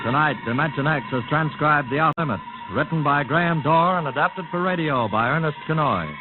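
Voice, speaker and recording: A man hosting and announcing a 1950s radio series with dramatic, measured scripted narration. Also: a little high. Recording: tinny vintage radio recording with music.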